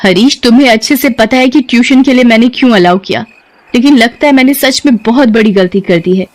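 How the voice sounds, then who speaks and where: A woman's voice: fairly high pitch, fairly quick, lightly acted. A woman voicing a principled female tutor in an audio story, firm and admonishing in manner. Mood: reproachful, firm. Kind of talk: dramatized audio story acting.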